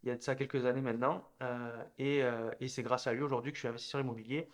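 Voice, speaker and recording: Brisk-talking male interview host. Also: medium pitch, flat delivery. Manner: brisk, many filler hesitations.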